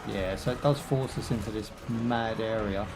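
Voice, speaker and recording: A man's voice: on the low side, fairly even intonation, normal speed, over loud music. A young British man chatting casually while he plays a game live, rambling through jokes, asides and fillers. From United Kingdom. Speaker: gaming streamer. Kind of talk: casual live gameplay commentary.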